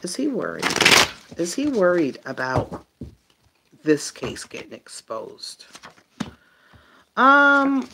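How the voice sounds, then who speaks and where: A woman doing a casual, improvised tarot reading for viewers, thinking aloud in a halting way and trailing off mid-phrase. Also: lowish voice, wildly swooping pitch, vocal fry, very noisy. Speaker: tarot reader.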